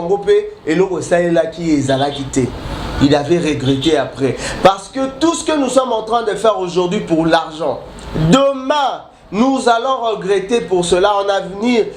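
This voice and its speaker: A man preaching a sermon while he has a cold, halting and restarting often, then building emphatic repetitions. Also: sits high, a little mellow. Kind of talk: sermon mixing French and Lingala. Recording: echoey room with faint ambient noise.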